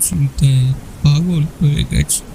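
An actor playing a grieving, bewildered old village father in an audio story, speaking in a halting, sorrowful way. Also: fairly high pitch, creaky, noisy background. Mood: weary grief.

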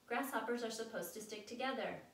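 A woman's voice: fairly high pitch, a little fast, natural voice. A woman voicing a bossy, alarmed second-grade girl who scolds urgently in a children's storybook. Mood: questioning, urgent. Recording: roomy, distant mic with background noise.

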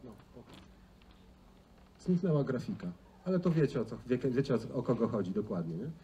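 Middle-aged male conference speaker, conversational, relaxed low-brightness tone, recorded at a distance with background noise. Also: fairly quick, muffled recording. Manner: conversational, frequent rhetorical tag questions. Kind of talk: informal conference presentation. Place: large indoor auditorium stage. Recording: distant, noisy stage sound in a hall.

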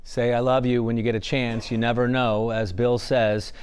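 A man's voice: medium pitch, level tone, normal speed, a little mellow, a little hiss or hum. Man, news anchor, conversational, TV studio, clean mic. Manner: conversational wrap-up.

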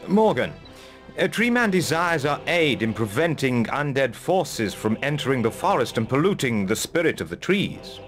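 An actor playing a loyal, noble elf archer in a video game, formal and earnest. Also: male voice, medium pitch, big pitch swings, moderate pace, a bit bright. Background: music.

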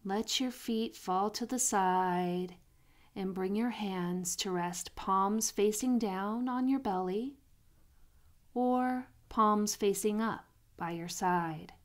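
A woman guiding a sleep meditation in a soothing, unhurried manner, pausing between phrases, with soft, breathy phrase endings. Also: mid-range voice. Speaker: meditation guide.

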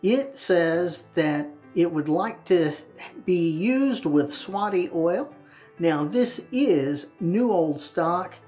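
Slow-paced man giving a how-to demo. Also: sits high, expressive, darkish tone, faint music behind. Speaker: presenter of a wet shaving channel. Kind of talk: instructional product demonstration to camera. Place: small tiled home bathroom, indoors.

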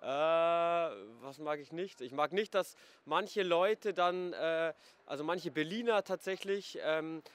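A young man answers on a street at night, slightly high-voiced, hesitant and groping for words. A handheld mic catches him outdoors. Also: slow pace, hardly any pauses, smooth. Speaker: passer-by interviewee. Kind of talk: street vox pop. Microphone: handheld mic held by interviewer.

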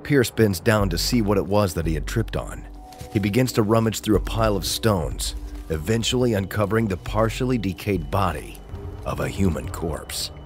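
A man's voice: on the low side, lively intonation, normal speed. A man delivering dramatic scripted narration for an audio drama. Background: prominent music.

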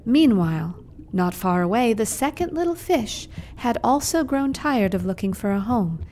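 A woman storyteller narrating a scripted children's puppet show in a measured, expressive way. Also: fairly high pitch, slight background noise.

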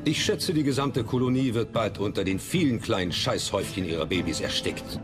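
An actor as a low-voiced, mocking naval officer. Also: male voice, lively intonation, brisk, music in the background, lightly acted. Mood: sardonic. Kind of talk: dubbed historical docudrama dialogue.

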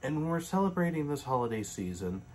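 A man in his late twenties, sitting on a living room couch and reading a Christmas story aloud in a gentle, casual way. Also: on the high side, sing-song, fast, a little hiss or hum, poor audio. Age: late 20s. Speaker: storybook reader. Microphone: webcam facing him at close range.

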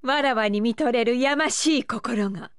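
A woman's voice: fairly high pitch, moderate pace, smooth, no room sound, clean studio sound, natural voice. A woman voicing a haughty, lovestruck young pirate empress in an anime video game, with imperious, archaic regal diction. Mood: disdainful, commanding.

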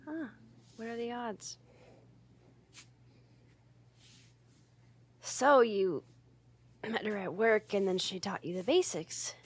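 A woman's voice: mid-range voice, animated, a little slow, slightly rough. A woman playing a flustered, easily embarrassed tsundere roommate in an ASMR roleplay, stammering and defensive but secretly fond. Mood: softened, awkward. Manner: stammering, defensive, huffy, hesitant.

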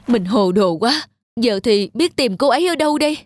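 A woman voicing a jealous, hot-tempered young husband in an animated folk tale, with an accusing, emphatic manner. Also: on the high side, normal speed, no room sound, studio quality. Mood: anguished regret. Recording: music under the voice.

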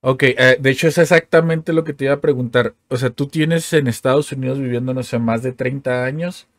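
A man's voice: mid-range voice, steady tone, brisk, dry recording, clean studio sound. A man in his thirties hosting a podcast, asking curious questions and thinking aloud with fillers. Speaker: podcast host. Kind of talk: casual interview questions. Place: home room, indoors. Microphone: studio mic on a stand, with headphones.

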